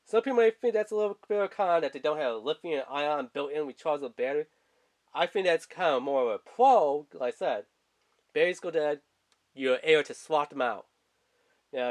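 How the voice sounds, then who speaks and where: Male reviewer, somewhat higher register, colloquial and loose, casual home product-video delivery. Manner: rambling, informal, colloquial. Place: indoors at a tabletop.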